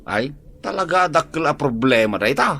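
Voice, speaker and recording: A man's voice: on the high side, sing-song, a little fast, vocal fry, bright, forceful tone, slight background noise, heavily acted. A man playing a chatty man at home. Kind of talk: radio drama acting.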